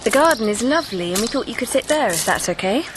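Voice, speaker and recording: An actress playing a polite, earnest young television researcher in a naturalistic radio drama. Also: female voice, a little high, expressive, fast talker, lightly acted. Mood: polite, brisk. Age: young adult. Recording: radio drama with clear background noise.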